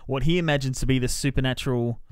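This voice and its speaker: A man's voice: medium pitch, steady tone, quick pace, clear, resonant tone, no room sound. Clean, dry-sounding man chatting about films. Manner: sweary, mimics characters in quotes. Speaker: podcast host reviewing films. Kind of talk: casual spoiler-filled movie review chat.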